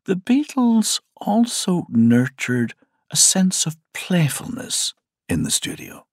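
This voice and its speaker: A man reading scripted documentary narration in a gravelly voice. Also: high voice, very animated, slow, dry recording, clean studio sound.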